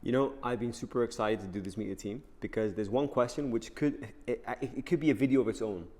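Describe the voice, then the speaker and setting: A man hosting a company channel interview, energetic and enthusiastic as he puts questions to a colleague. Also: mid-range voice, quick pace. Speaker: host and interviewer.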